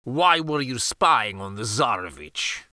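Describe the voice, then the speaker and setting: A man's voice: mid-range voice, expressive, takes it slowly, pushed and bright, no echo, clean studio sound, exaggerated voice. A man voicing a scornful, imperious old Grand Vizier in a children's fairytale. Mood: stern. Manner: imperious, scoffing.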